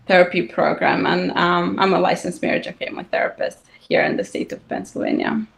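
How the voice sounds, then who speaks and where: A woman's voice: on the low side, level tone, a little fast, creaky. Woman from Moldova, Romanian native. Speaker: co-host and family therapist.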